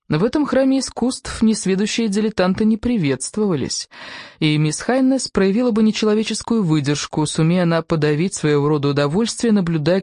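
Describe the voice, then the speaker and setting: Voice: mid-range voice, darkish tone, dry recording, clean studio sound. A narrator reading literary fiction from a script, acting out the dialogue. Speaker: audiobook narrator.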